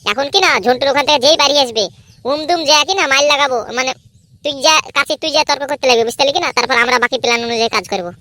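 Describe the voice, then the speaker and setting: Woman voicing a high, bossy scheming cartoon boy. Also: racing, pushed and bright, no echo. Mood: scheming, urgent. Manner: impatient, commanding, boastful. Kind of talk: amateur comedy cartoon dub.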